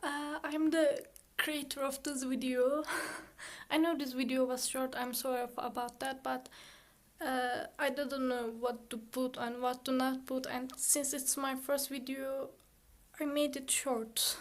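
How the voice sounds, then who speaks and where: A woman's voice: high voice, little pitch movement, a little slow. A young woman with a shy, careful way of talking, explaining a science topic to her viewers. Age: young adult. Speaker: channel creator and student. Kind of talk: educational explainer and chat to viewers.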